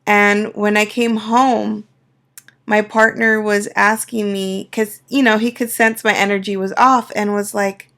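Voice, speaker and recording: A woman coach speaking reflectively to her viewers, her voice a little raspy from a cold. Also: mid-range voice, level tone. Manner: soft, unhurried and reflective, with many fillers. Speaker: astrology and life coach. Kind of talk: personal talk to camera.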